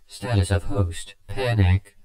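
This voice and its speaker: A heavily echoing, distant robotic suit computer, voiced by a man. It speaks in an even, metallic monotone with clipped, impassive system announcements, like an onboard machine in an audio story. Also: fairly low pitch, takes it slowly. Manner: flat, clipped status announcements. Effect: echoing robot voice filter.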